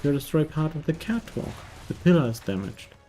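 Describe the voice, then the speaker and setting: A man's voice: a little high, lively intonation, brisk, dark tone, soft background music. A man from Germany, narrating a casual game review in a dry, wry manner.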